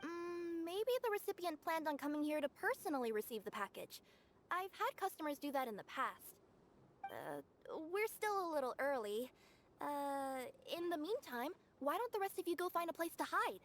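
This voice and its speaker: A very high-voiced young fox-girl courier for a video game. She is earnest and polite, stammering nervously with lively swings in pitch. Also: normal speed. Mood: planning. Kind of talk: video game character acting.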